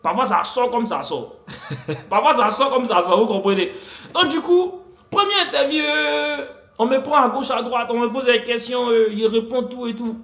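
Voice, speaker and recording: A man's voice: extremely high pitch, moderate pace, pushed and bright. A young man vlogging, animatedly telling a personal story to his viewers, repeating phrases for effect. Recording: echoey room.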